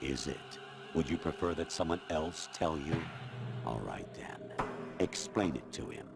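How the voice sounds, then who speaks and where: A man voicing a cold, condescending artificial intelligence in a video game cutscene, lecturing in a measured, disdainful way. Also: extremely low pitch, expressive, vocal fry, full character voice. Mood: mocking. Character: cold, condescending male artificial intelligence posing as human authority. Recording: loud music behind the voice. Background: loud music.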